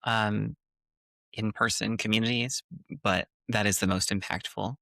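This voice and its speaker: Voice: mid-range voice, steady tone, unhurried, vocal fry, pushed and bright, no echo. A podcast co-host with a Minnesotan accent, talking in an earnest, encouraging way with hesitant fillers. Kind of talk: casual podcast chat.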